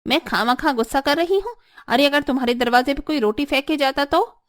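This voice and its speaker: A woman's voice: sits high, brisk, natural voice. A woman voicing a haughty, quarrelsome middle-aged mother-in-law in a cartoon story, scolding and boastful. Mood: angry, accusing. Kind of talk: Hindi cartoon story voice acting.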